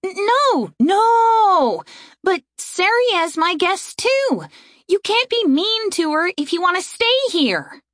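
A woman's voice: very high-pitched, big pitch swings, slow pace, fairly bright tone, no room sound, clean studio sound, pitched above the performer's own voice, exaggerated voice. A woman voicing an earnest, flustered young woman standing her ground with pleading bravado in an audiobook. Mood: flustered protest. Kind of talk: audiobook character voice.

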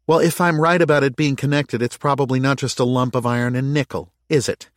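A man voicing an earnest, higher-pitched questioning woman. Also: steady tone, a little fast, no echo, clean studio sound, close to an everyday voice. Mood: thinking aloud. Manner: tentative, questioning, thinking aloud. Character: earnest, thoughtful woman reasoning through a mystery. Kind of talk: audiobook character dialogue.

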